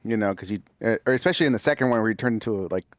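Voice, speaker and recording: A man's voice: lowish voice, rapid-fire, dry recording, lo-fi sound. A man chatting casually as a podcast guest, rambling with lots of fillers and restarts.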